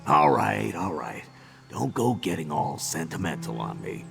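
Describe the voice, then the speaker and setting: An actor voicing a gruff male orc mercenary in a video game, talking in a rough, wry, offhand way. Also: rumbling low, sing-song, unhurried, music in the background, heavily acted. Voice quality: gravelly. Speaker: game character.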